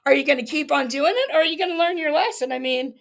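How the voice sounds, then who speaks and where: A woman's voice: high voice, quick pace, creaky. A woman in her fifties speaking on a livestream, defiant and sarcastic, breaking into laughs. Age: 50s. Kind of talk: casual livestream chat.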